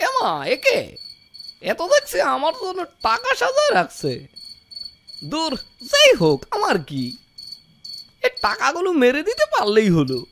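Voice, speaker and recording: Sly, greedy male cartoon servant: bright, forceful, theatrical schemer with very lively pitch. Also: a little slow, creaky, pauses often, a little hiss or hum, heavily acted. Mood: gleeful scheming. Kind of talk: exaggerated comic cartoon dubbing.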